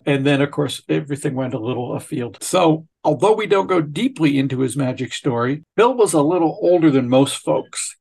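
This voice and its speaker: A man's voice: a little high, gravelly, a little mellow, husky. A man hosting a podcast in a casual, rambling, conversational way. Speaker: podcast host. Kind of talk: casual chat and interview.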